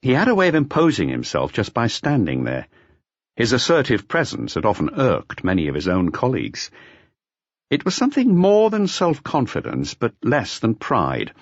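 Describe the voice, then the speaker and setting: A man narrating a mystery novel as an audiobook, with measured, expressive storytelling. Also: medium pitch, no echo, muffled recording.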